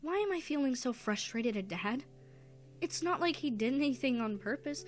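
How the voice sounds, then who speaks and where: A woman voicing an earnest young daughter pony in an animated fan drama. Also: sits high, normal speed, slight background noise. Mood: guilty, frustrated.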